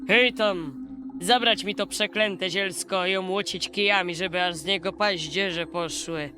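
A woman voicing a proud, hot-tempered fairy-tale king, speaking sternly and forcefully as if barking orders. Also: fairly low pitch, steady tone, unhurried, lightly acted. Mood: furious, commanding. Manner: stern, forceful shouting delivery. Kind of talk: fairy-tale storybook character voice. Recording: music behind the voice.

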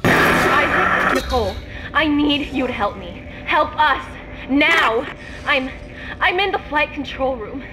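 A woman's voice: high voice, expressive, takes it slowly, background noise. An actress playing a desperate, frightened woman in a video game, breathlessly begging for help. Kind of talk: dramatic video game acting. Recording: transmission under loud music.

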